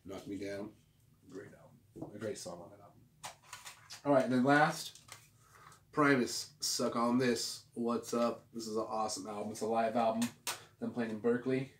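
A man's voice: mid-range voice, fairly even intonation, moderate pace, pauses often. A man in his forties chatting casually to camera like a vlog host, with a laid-back, rambling, slangy delivery. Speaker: host of a record collecting video channel. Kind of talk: casual show-and-tell vlog chat. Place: small home room, indoors. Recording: roomy, distant mic with clear background noise.

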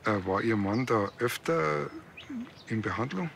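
A man's voice: lowish voice, lively intonation, a little slow, gravelly, fairly bright tone, slight background noise, heavily acted. An actor playing an awkward, chatty, naive village policeman with a Bavarian accent and a hesitant, rambling manner, in a TV crime comedy. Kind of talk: naturalistic TV crime comedy dialogue.

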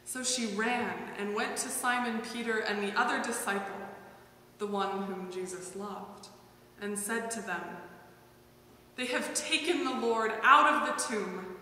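A woman giving a measured scripture reading from a church pulpit. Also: fairly high pitch, sharp tone. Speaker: scripture reader. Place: church sanctuary at a wooden pulpit. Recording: very echoey hall, faint hum.

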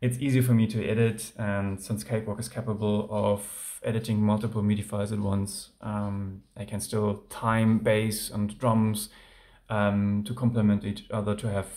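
A man's voice: fairly low pitch, little pitch movement, normal speed, dark tone. A man with a German accent, speaking English as a second language, casually walking through his track in a home music studio with many ums and restarts. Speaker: music producer.

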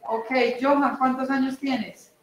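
A young adult woman teacher with a Colombian accent, taking roll in an online class on a laptop mic, brisk and repetitive. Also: sits high, fairly even intonation, slight background noise. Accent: Colombian Spanish. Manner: brisk, repeats names and ages, says "listo". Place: indoors at home on a video call. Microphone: laptop mic on video call. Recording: very echoey room.

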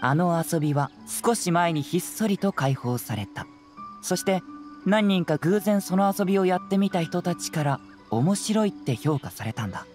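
A man voicing a calm, perceptive young gentleman investigator in a game, soft-spoken and politely probing. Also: high-pitched, normal speed, faint music behind. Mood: calm, explanatory. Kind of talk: Japanese game cutscene voice acting.

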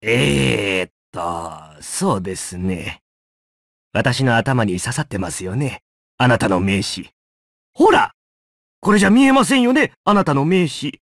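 An eccentric, philosophical gentleman doctor from a fighting game. His speech is courteous and measured, yet theatrical. The mid-pitched male voice swings dramatically up and down, recorded very dry. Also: sing-song, vocal fry, dry recording, full character voice. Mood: comic, flustered. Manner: courteous, measured, theatrical, swinging from grave murmurs to high exclamations. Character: eccentric male doctor with a paper-bag head, polite and philosophical. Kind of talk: video game victory lines, theatrical Japanese voice acting.

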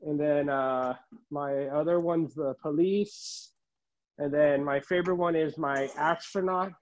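A middle-aged man giving a casual show-and-tell tour as a collector, listing items haltingly, recorded indoors on a handheld phone. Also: on the high side, steady tone. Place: room at home, indoors. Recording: dull, muffled phone audio.

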